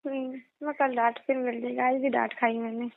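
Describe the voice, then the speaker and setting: High-voiced young woman on a tinny phone line. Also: level tone, brisk, vocal fry, dry recording. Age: young adult. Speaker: girlfriend on a phone call. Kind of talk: intimate casual phone chat. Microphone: phone call. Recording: muffled narrow-band phone call recording.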